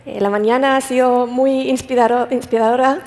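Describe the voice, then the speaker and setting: Woman giving a conference talk, higher voice, careful, lots of restarts, echoey big hall. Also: steady tone, fairly quick, a little mellow. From Finland. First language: Finnish. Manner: careful, with frequent restarts and hesitations. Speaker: conference speaker.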